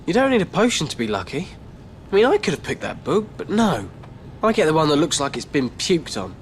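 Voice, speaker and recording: Blunt, animated schoolboy sidekick. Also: male voice, on the high side, fairly quick, a little hiss or hum. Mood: grumbling. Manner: grumbling, blunt. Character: grumbling, blunt, good-natured teenage schoolboy sidekick. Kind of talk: video game voice acting.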